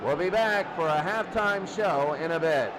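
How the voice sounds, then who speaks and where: A man's voice: fairly high pitch, moderate pace. A man doing informal football play-by-play, thinking aloud as he calls the game. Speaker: play-by-play announcer. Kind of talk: American football radio-style commentary. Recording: loud crowd and noise behind. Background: stadium crowd roar.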